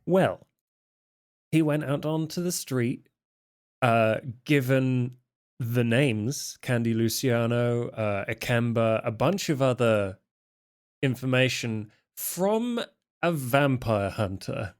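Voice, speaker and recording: A man of average pitch speaks very slowly as a player at a tabletop game. He casually lays out what his character does and thinks, taking his time over each phrase. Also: lively intonation, a little mellow.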